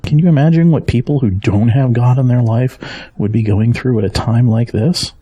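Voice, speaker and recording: Man playing a husky, worried middle-aged dad. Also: mid-range voice, moderate pace, dark tone, no echo, lightly acted. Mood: earnest, reassuring. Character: worried, gentle middle-aged father caring for his ailing wife. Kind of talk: audio drama acting.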